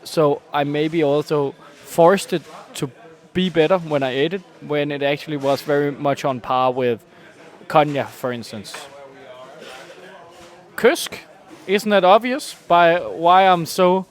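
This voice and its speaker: A man's voice: on the high side, takes it slowly. A young man chatting casually as a podcast co-host, with a wry, mock-formal way of phrasing. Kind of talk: casual chat.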